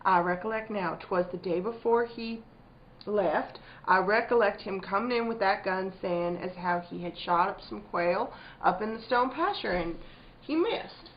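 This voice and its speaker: An actress playing a guarded, middle-aged rural farm wife in a radio play, with a curt, defiant rustic dialect. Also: female voice, mid-range voice, unhurried, lightly acted. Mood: tense. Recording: dull sound, faint background noise.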